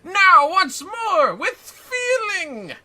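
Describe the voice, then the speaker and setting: A streamer voicing a pompous, exuberant gentleman inspector in a theatrical, grandiose manner for a video game, played as a man. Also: very high voice, sing-song, drawn-out words, background noise, voice pushed up, heavily acted. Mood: ecstatic. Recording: music behind the voice.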